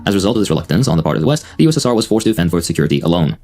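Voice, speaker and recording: A man's voice: deep voice, very animated, racing, a little mellow, soft background music. A man narrating a scripted video essay in a confident, declarative way.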